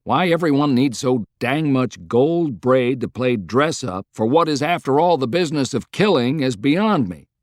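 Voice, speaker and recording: A man's voice: mid-range voice, expressive, moderate pace, few pauses, darkish tone, no room sound, clean studio sound, lightly acted. Man voicing a folksy, emphatic American president. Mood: fed up. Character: plain-spoken, weary, folksy male American president.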